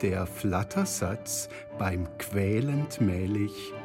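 A man's voice: fairly low pitch, lively intonation, very slow, music in the background, exaggerated voice. A man reciting dense poetry as a solemn reader in a literary radio play. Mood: solemn, deliberate.

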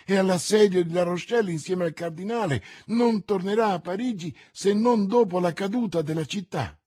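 A man's voice: mid-range voice, animated, takes it slowly, creaky, darkish tone, breathy edge, no echo, clean studio sound, exaggerated voice. A man voicing a scheming noblewoman, cool, guarded and watchful, as a character in an audiobook. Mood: startled, doubting. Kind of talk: audiobook character voice, classic novel.

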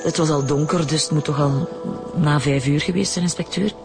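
An actress playing a shaken, evasive wife who recounts her abduction haltingly in a Flemish accent, in a radio drama. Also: low-pitched, steady tone, gravelly. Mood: shaken, hesitant. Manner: hesitant, halting, repeating words. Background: music.

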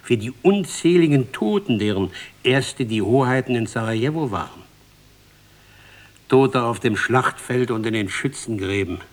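A man's voice: mid-range voice, animated, unhurried. A man narrating a literary script in an ironic, rhetorical manner.

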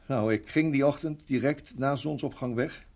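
An actor in a radio play voicing a reflective, middle-aged married farmer who reminisces in a measured, halting way. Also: male voice, medium pitch, a little mellow, lightly acted. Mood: sombre, halting. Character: weary, reflective married farmer recalling his years in Rhodesia.

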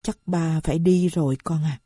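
A woman voicing a bitter, defiant middle-aged stepfather who answers back gruffly. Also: deep voice, steady tone, moderate pace, mellow, dry recording, clean studio sound. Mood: sorrowful. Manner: gruff retorts, turning sorrowful.

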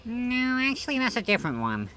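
A man's voice: very high-pitched, wildly swooping pitch, a little fast, sharp tone, slight background noise, heavily acted. A man voicing a naive, eager little apprentice in a comic radio skit. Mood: plaintive.

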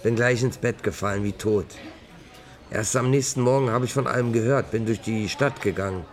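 A man's voice: medium pitch, normal speed, close to an everyday voice. A man playing a troubled, conscience-stricken actor colleague in a German radio drama, probing and reflective. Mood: haunted, recollecting.

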